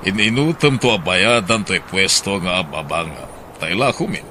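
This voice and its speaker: A man's voice: low voice, animated, normal speed, bright, forceful tone, a little hiss or hum. A man playing an older market stall owner in a radio drama, with an Ilocano accent. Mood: businesslike.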